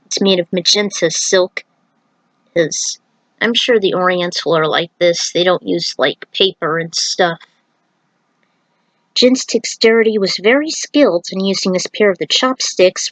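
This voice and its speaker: A woman's voice: mid-range voice, a little slow, no echo, rough recording. A woman narrating a story aloud in an informal way, breaking off for chatty asides and recaps. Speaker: narrator reading own fan fiction. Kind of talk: story reading with casual asides.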